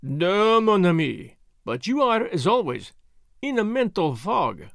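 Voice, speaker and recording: Guy doing a cheerful fussy little detective for an audiobook, theatrical and polite, pitch jumps all over, dry sound. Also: big pitch swings, measured pace, vocal fry, a bit bright, dry recording, full character voice. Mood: teasing, explanatory. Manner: theatrical, formally polite, precise. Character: dapper little Belgian detective, cheerful, courteous, self-assured man.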